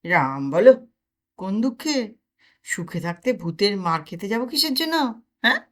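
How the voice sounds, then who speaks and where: A woman's voice: medium pitch, big pitch swings, normal speed, lightly acted. A woman voicing a lazy, mischievous young man who teases his friend with mock drama. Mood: mock-dramatic.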